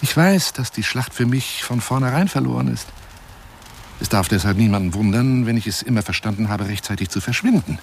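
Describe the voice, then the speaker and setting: An actor playing an eccentric, lovelorn and wounded lion hunter in a radio drama monologue, speaking on the telephone in a theatrical, florid, self-pitying manner. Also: male voice, mid-range voice, a little fast, gravelly, a little hiss or hum, natural voice. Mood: plaintive, resigned.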